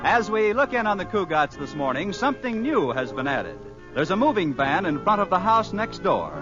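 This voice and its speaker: A man's voice: high voice, big pitch swings, moderate pace. A male announcer giving a scripted introduction in 1940s radio style. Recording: dull old radio recording. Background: music.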